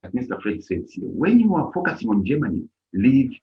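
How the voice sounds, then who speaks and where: A man's voice: mid-range voice, big pitch swings, brisk. A middle-aged man from Zambia who leads an organization, giving an impassioned speech at a live-streamed event and stressing his key points hard. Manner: emphatic, rising to near-shouts on key points. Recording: dull lo-fi stream audio.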